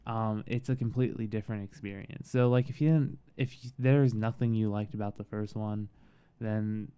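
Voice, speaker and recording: Soothing, smooth-voiced man reviewing a film. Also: fairly low pitch. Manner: halting, relaxed, with frequent pauses and restarts. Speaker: movie reviewer. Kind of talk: casual spoken review.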